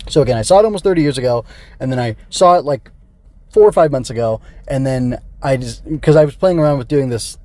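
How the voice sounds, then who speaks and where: A man's voice: medium pitch, a little mellow. A middle-aged man hosting a solo podcast, talking casually and rambling with digressions. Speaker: podcast host. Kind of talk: casual solo review chat.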